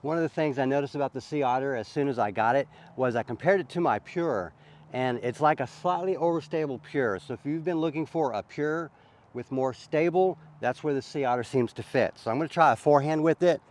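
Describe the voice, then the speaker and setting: A man's voice: medium pitch, fairly quick, a little mellow. A man with a sore throat from a cold, chatting casually as host of a disc golf vlog on an outdoor course. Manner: easygoing, chatty, frequent uhs.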